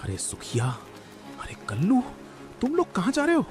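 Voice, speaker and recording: A man's voice: very high voice, wildly swooping pitch, moderate pace, a little mellow, soft background music, exaggerated voice. A man playing a boastful, mocking rival food seller in a cartoon, with a taunting, smug manner. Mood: indignant.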